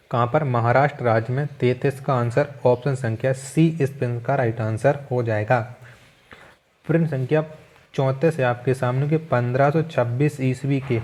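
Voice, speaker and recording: A man's voice: medium pitch, a little mellow. A man teaching an exam-prep quiz lesson, reading out questions, asking them again rhetorically and repeating the answers.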